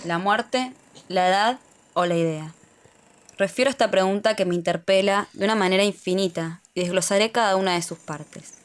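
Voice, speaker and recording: A woman speaks at a medium pitch. She delivers a formal spoken essay, posing rhetorical questions in careful, composed phrases. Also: normal speed.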